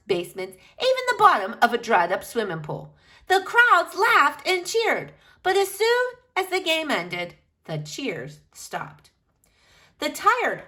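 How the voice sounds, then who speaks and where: A woman reads a picture book aloud in a bright, fairly high voice. Her delivery is unhurried and theatrical, leaning hard on important words and drawing them out for young listeners. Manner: emphatic, dramatic phrasing with drawn-out key words. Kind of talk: expressive children's picture book read-aloud.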